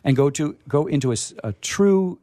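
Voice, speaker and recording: A man hosting a casual on-air interview in a chatty, halting, wordy way, with playfully grandiose touches. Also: fairly high pitch, animated, dark tone, no echo. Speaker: radio host. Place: radio studio.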